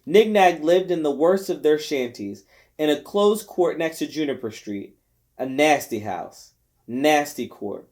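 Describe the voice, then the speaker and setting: A man narrating a novel aloud in an expressive storytelling style with deliberate phrasing. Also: medium pitch. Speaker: volunteer reader of a novel. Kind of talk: scripted audiobook narration.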